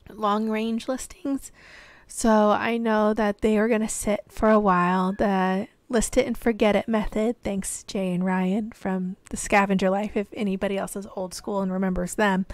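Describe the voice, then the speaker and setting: A woman in her thirties from the American Midwest, hoarse and losing her voice, chatting in a rambling voiceover at home. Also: medium pitch, no echo. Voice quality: tired, yawning. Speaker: reseller vlogger.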